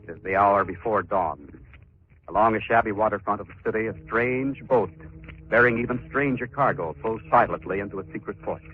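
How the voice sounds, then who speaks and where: A man narrating a 1940s radio drama in an ominous, deliberate, theatrical style. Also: lowish voice, music in the background. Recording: tinny 1940s radio recording.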